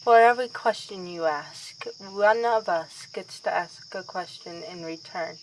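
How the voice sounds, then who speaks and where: Unhurried, reluctant vampire princess voiced by a woman. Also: fairly low pitch, low-quality recording. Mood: intrigued. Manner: formal wording with wry, weary asides. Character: reluctant vampire princess, kindly but exasperated, gently haughty. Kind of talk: ASMR roleplay acting. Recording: outdoor ambience with faint insect noise.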